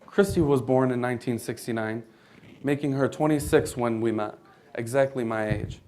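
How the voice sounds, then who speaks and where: A man's voice: mid-range voice, normal speed, darkish tone, rough recording. A young American man reading scripted narration for a readers theater performance, formal, with occasional stumbles over the text. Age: young adult.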